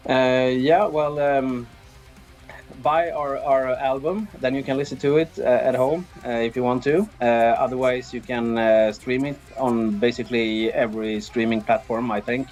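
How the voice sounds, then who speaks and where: A man's voice: medium pitch, steady tone, normal speed, a little mellow, highs cut off, soft background music. A middle-aged man with a Swedish accent, giving hesitant, easygoing answers in a casual interview. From Sweden. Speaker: interviewed band drummer.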